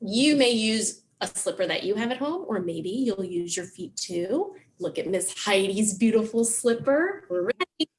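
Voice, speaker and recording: A woman's voice: mid-range voice, very animated, a little slow, hardly any pauses, a little hiss or hum. A woman hosting a children's story time, explaining songs and books in simple, encouraging language for preschoolers. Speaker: children's library program host.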